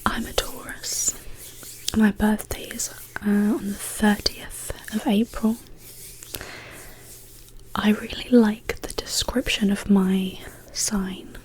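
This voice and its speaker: A woman speaking as an ASMR host in a hushed, whispered, casual question-and-answer style. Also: medium pitch, fairly even intonation, rough recording. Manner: slow, hushed, gently paced delivery.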